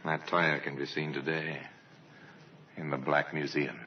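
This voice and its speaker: A man narrating an old-time radio crime mystery as a grave storyteller, measured and dramatic, on a vintage recording. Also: extremely low pitch, flat delivery, lo-fi sound, over loud music.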